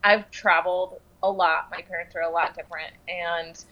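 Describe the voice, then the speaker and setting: A woman's voice: fairly low pitch, fairly even intonation, moderate pace, muffled recording. An American woman from the southwestern United States talking casually in conversation as a guest.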